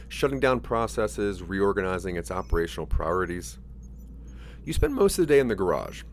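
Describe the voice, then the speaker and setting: A man's voice: lowish voice, a little hiss or hum. A man narrating a scripted interactive audio drama in the second person.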